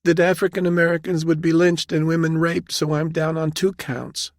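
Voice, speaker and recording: Young female soldier, played by a man: New Jersey accent, raised pitch, timid and tearful, deferential to authority, for an audiobook novel. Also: flat delivery, moderate pace, dry recording, studio quality, lightly acted. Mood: fearful, tearful. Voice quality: trembling, soft. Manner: hesitant, deferential. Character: frightened young female army captain, mid-twenties, meek and tearful.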